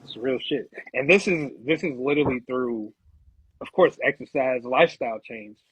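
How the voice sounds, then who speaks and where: A man's voice: medium pitch, normal speed, husky, no echo, dull audio. A man talking in an emphatic, slangy way as a podcast guest, often checking that the listener follows. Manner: emphatic, slangy, keeps checking "you know what I'm saying". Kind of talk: casual chat.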